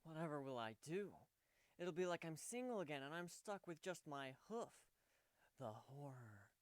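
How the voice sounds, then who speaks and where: A man voicing a gentle, wry male pegasus pony who speaks matter-of-factly in a story reading. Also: on the high side, sing-song, moderate pace, no room sound, pitched above the performer's own voice. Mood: playful.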